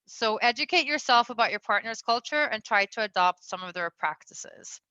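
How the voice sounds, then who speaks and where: Woman, raised in Venezuela, relationship coach, bright tone, rambling fillers, slightly muffled sound. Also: a little high, lively intonation, normal speed, dry recording. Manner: chatty, lots of fillers, laughs often. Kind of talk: casual advice talk.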